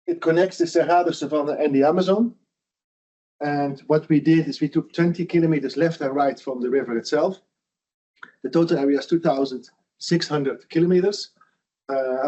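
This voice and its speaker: A man's voice: a little high, mellow. A middle-aged man from the Netherlands, a foundation founder giving a lunch lecture in an earnest, conversational way with frequent restarts. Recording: dull lo-fi sound.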